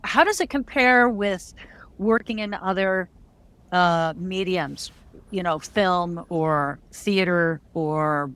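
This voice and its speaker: A woman's voice: fairly low pitch, slow pace, a bit bright, clean tone. A woman hosting an interview, asking questions in a conversational, rambling way. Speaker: interviewer host.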